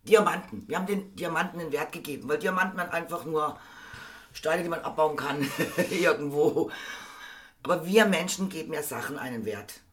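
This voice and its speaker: A man hosting a casual podcast, hoarse and a bit worn out, rambling through his thoughts with the odd chuckle. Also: a little high, fairly quick, fairly bright tone. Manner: rambling, self-mocking, chuckling. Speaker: podcast host.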